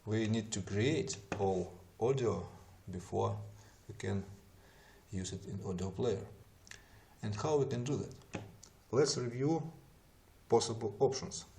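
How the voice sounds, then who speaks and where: A man who is not a native English speaker narrates an explanatory software tutorial in a methodical, step-by-step way. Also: on the low side, takes it slowly, creaky, a little hiss or hum.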